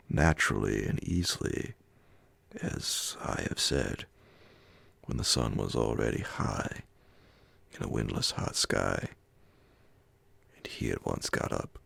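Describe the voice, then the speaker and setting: A man reading classic horror fiction as an ASMR audiobook, in a hushed, measured, breathy and gravelly voice. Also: rumbling low, no echo. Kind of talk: ASMR audiobook scripted narration.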